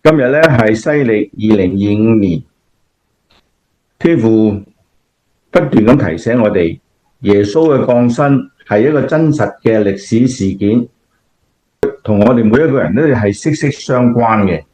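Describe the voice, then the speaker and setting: A man's voice: fairly low pitch, animated, a little slow, pauses often, dark tone. A man with a Cantonese accent playing a kindly, devout grandfather who explains things patiently in a scripted radio drama. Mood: solemn, devout. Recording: dull, lo-fi audio.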